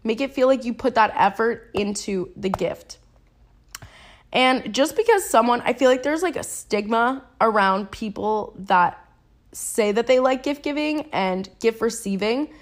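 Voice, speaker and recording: A woman's voice: a little high, animated, a little slow. A young woman hosts a casual solo podcast while she is sick, sniffling as she talks. She rambles in a chatty, slangy way and laughs at herself. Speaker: podcast host.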